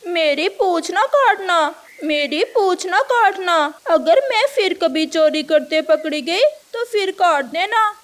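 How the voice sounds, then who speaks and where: Woman voicing a high, sly cartoon crow lady. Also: animated, slow, hardly any pauses, a bit bright, full character voice. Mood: panicked pleading. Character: sly boastful female crow thief, pleading when caught. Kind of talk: Hindi children's cartoon character voice.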